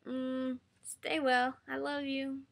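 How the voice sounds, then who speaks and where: A woman losing her voice, speaking softly and haltingly with frequent pauses as she answers a viewer's question. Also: sits high, little pitch movement. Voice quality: creaky, hoarse. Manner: soft-spoken, halting with frequent ums. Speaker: patient sharing her experience. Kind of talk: casual video answer to a viewer.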